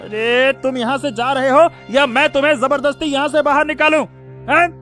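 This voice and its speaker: A man's voice: extremely high pitch, steady tone, moderate pace, sharp tone, faint music behind. A man voicing a greedy, heartless, domineering elder brother in a cartoon story, with a scolding, dismissive manner. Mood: harsh scolding. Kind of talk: Hindi moral-story cartoon dubbing.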